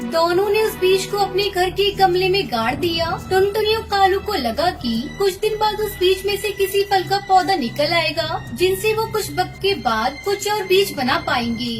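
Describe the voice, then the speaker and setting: A woman's voice: very high-pitched, expressive, moderate pace, few pauses. A woman narrating a scripted moral story for children. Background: music.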